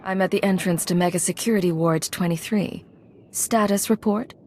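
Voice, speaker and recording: Casting: actress as stern spy leader for a game. Lower female voice, composed, terse, commanding. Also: fairly even intonation, normal speed, a little mellow, slight background noise, lightly acted. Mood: businesslike. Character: stern, composed female secret agent leading a crew. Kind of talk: video game voice acting.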